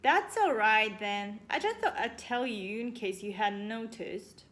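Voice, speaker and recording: A woman's voice: mid-range voice, animated, a little slow, a bit bright. A woman voicing a polite, curious and forthright little girl from a storybook.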